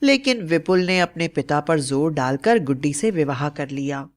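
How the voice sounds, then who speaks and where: A woman's voice: deep voice, animated, moderate pace. A woman narrator reading a scripted story.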